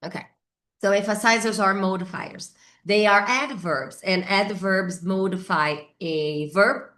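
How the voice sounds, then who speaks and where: A middle-aged Brazilian woman, a native Portuguese speaker, teaches English grammar informally with a Brazilian accent, thinking aloud haltingly and repeating key words. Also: medium pitch, fairly bright tone. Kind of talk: informal whiteboard grammar lesson.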